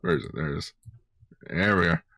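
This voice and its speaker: Male podcast co-host, low voice, dry close sound, informal fan banter with swearing. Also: a little fast, pushed and bright, no echo. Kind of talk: casual fan chat.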